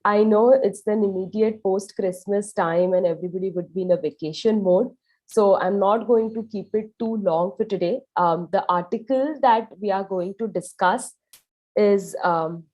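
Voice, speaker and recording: A woman's voice: medium pitch, normal speed, darkish tone, low-quality recording. A young woman with an Indian English accent presenting a radiology talk over a video call, her voice harsh from a sore throat, explaining with "um" fillers. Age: young adult. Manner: explanatory, with "um" and "you know" fillers. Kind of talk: medical presentation.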